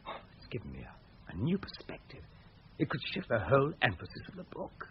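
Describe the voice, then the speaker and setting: A man's voice: mid-range voice, huge pitch swings, brisk. An actor playing an obsessive, earnest male researcher in a radio drama. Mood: earnest, insistent. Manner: earnest, insistent. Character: obsessive, insistent male researcher and writer, Walter. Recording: dull lo-fi old radio recording, faint noise.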